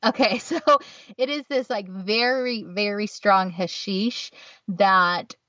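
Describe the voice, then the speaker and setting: A woman's voice: medium pitch, fairly even intonation, slow pace, a bit bright, no room sound. A woman co-hosting a podcast, telling stories in a chatty casual way with lots of fillers. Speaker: podcast co-host. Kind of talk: casual chat. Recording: dull, distant, dry sound.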